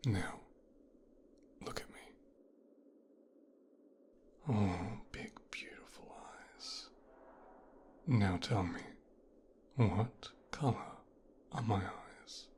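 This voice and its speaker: A whispering, slow-spoken mafia boss's son, acted by a man for an ASMR roleplay. He is coolly possessive and clinical, handling his captive with soft, breathy condescension. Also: fairly low pitch. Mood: possessive, gentle.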